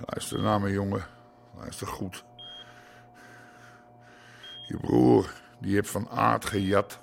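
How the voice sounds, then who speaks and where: An actor playing an ailing, commanding old father in a radio drama, his voice gravelly and breathy. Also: lowish voice, flat delivery, takes it slowly, slight background noise, exaggerated voice. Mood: weary, urgent. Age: older. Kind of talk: Dutch radio drama acting.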